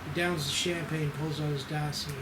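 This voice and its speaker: A man narrates stage directions at a screenplay table read. His voice sits a little high, heard in an echoey room with clear background noise. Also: flat delivery, normal speed.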